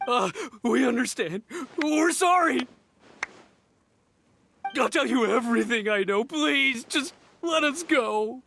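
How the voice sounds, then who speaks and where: An actor playing a frightened, cowardly desert bandit in a video game, stammering and pleading. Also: male voice, very high-pitched, expressive, slow, creaky, pushed and bright, a little hiss or hum, heavily acted. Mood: panicked begging.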